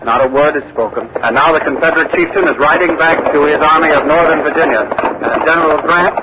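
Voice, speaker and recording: Actor as earnest radio correspondent, muffled vintage recording. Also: male voice, a little high, close to an everyday voice. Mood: tense, narrating. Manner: measured, dramatic, hushed pauses between phrases. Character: earnest male radio news correspondent reporting live from history. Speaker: reporter. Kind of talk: 1940s radio acting, live news commentary. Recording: noisy, muffled old radio broadcast.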